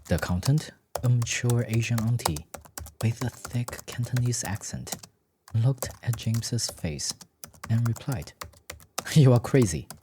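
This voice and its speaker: A man's voice: medium pitch, a little slow, noisy background. A man reading scripted fiction as a story narrator.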